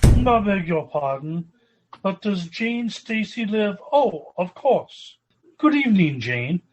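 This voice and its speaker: A man's voice: sits high, sing-song, darkish tone, a little hiss or hum, exaggerated voice, rough recording. A man playing a polite, formal upper-class dinner guest in an old-time radio sitcom style. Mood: courteous. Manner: courteous, formal, unhurried.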